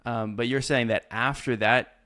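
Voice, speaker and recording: A man's voice: mid-range voice, fairly even intonation, normal speed, fairly bright tone. A man asking casual, conversational questions as the host of a podcast interview.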